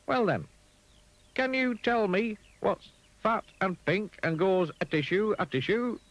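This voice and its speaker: A man voicing a simple, cheerful little storybook man in a high, eager voice. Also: a little slow, no room sound, highs cut off. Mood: cheery.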